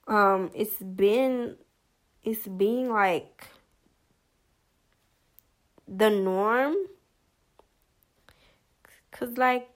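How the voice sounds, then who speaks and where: A woman vlogger giving casual commentary in a monotonous voice, hesitant, with many fillers and restarts. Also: medium pitch.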